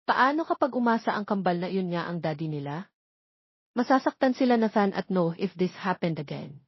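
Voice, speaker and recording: A woman's voice: on the low side, animated, brisk, slightly rough, no echo. Woman narrating, slightly dull dry recording. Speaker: audiobook narrator. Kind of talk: scripted story narration.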